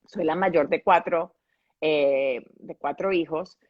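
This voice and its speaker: A woman's voice: low voice, takes it slowly, no echo, highs cut off. A woman with a Colombian accent speaking reflectively as an interview guest, explaining her thoughts and checking in with her listener. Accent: Colombian Spanish. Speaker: podcast guest and grief facilitator. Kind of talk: conversational interview answers.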